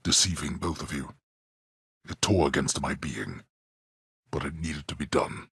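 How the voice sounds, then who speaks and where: A man's voice: very deep voice, a little slow, gravelly, a bit bright, husky, no room sound, clean studio sound, exaggerated voice. An actor playing a stern, imperious male god of the dead who speaks formally and coldly, in a game. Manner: formal, cold and commanding, with clipped rebukes. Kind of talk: video game voice acting.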